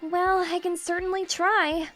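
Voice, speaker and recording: A woman plays an earnest young unicorn scholar in an audio drama. She speaks politely and thoughtfully in a very high voice, with faint music behind her. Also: flat delivery, a little slow, a bit bright.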